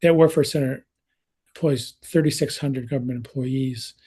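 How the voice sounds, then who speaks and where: A man's voice: medium pitch, fairly even intonation, moderate pace, warm tone, slightly rough. A man in his sixties speaking on a panel in a conversational way, with many restarts and fillers, over a video call. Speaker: researcher and speaker. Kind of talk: panel talk.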